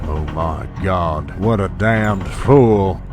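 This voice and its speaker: An actor playing a ruthless, scheming older oil billionaire in an audio drama, commanding and condescending. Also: male voice, sits low, very slow, loud music behind the voice. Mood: angry.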